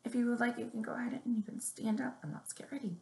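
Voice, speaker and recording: A young woman leading a story time for small children, reading aloud and giving simple instructions in a measured, deliberate way. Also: on the high side, creaky, a little mellow. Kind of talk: picture-book reading and finger plays for young children. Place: small room indoors at home or office. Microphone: webcam or laptop mic at arm's length. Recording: roomy, echoing webcam sound.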